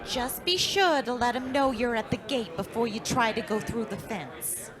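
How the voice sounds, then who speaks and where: A woman's voice: on the high side, normal speed, crowd noise, lightly acted. A woman playing a blunt, gossipy small-town post office clerk with a folksy manner in an old-time radio drama. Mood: gossipy, cautioning.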